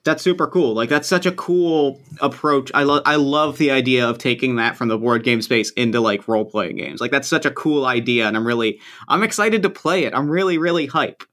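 A man hosting a tabletop game podcast, chatting casually and eagerly, and saying again and again how excited he is. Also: mid-range voice, a little fast, hardly any pauses. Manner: eager, gushing, repeats that he is excited. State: has a cold, losing his voice. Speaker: podcast host. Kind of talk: casual chat.

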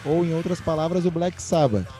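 A man's voice: a little high, a little fast, mellow, soft background music. A man from southern Brazil hosting a podcast, chatting casually about football with playful, joking banter. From Rio Grande do Sul, Brazil. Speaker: podcast host.

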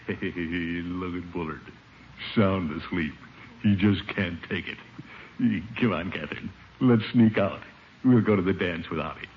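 A low-pitched, pompous middle-aged gentleman blusters his way through an old radio comedy. He is easily flustered, and the sound is dull with some background noise. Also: unhurried. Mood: gleeful, sly. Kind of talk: 1950s radio comedy acting. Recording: muffled, noisy old radio broadcast.

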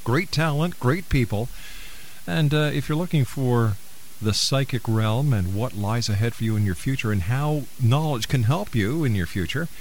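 A man hosting a live radio talk show, briskly reading out stations, phone numbers and a sponsor message, with small fillers. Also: mid-range voice, slight background noise.

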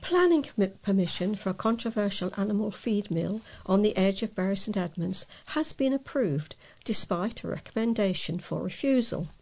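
Creaky-voiced woman reading local news. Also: medium pitch, expressive, moderate pace, hardly any pauses, darkish tone. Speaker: volunteer newsreader.

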